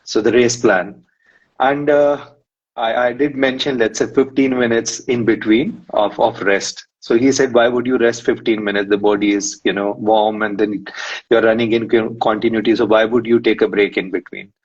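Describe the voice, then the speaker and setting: Low-voiced man, lo-fi audio. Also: fairly even intonation, normal speed, slightly rough. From India. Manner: rambling storyteller, many "you know" fillers. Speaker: guest, runner and psychologist. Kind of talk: live-stream interview. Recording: muffled lo-fi stream audio.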